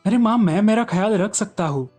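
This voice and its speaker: A man's voice: high-pitched, expressive, fairly quick, a little mellow, soft background music, pitched above the performer's own voice. A man voicing a studious, self-assured young son in a children's story. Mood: reassuring.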